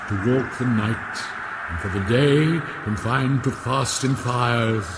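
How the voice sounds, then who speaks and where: A man's voice: medium pitch, slow, noisy background. A man playing the tormented ghost of a murdered royal father in a radio drama, grave and portentous. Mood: solemn, tormented. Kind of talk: classical radio drama acting.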